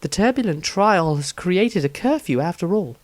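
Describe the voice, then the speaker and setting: A tender young woman adventurer asks her questions earnestly, her voice lifted far above the reader's own, as a man puts her on. She sounds soft-hearted and pleading, a storybook heroine. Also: high voice, expressive, moderate pace, no room sound, pitched above the performer's own voice. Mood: anxious.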